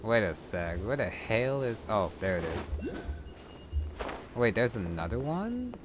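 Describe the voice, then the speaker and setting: A man's voice: on the low side, big pitch swings, a little slow. A man giving casual gameplay commentary, muttering and thinking aloud as he plays. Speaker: gamer. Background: game music.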